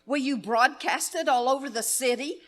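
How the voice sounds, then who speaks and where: A woman's voice: high voice, normal speed, fairly bright tone. A woman playing an anxious, demanding, bedridden wife in a melodramatic 1930s-style live radio drama. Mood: anxious, pleading. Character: anxious, imperious bedridden invalid wife, fretful and self-pitying.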